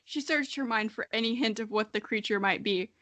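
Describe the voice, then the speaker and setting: A woman's voice: on the high side, a little fast, bright, forceful tone, no room sound. A young adult woman hosting a podcast, reading a childhood story dramatically with amused, self-mocking casual asides. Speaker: podcast host.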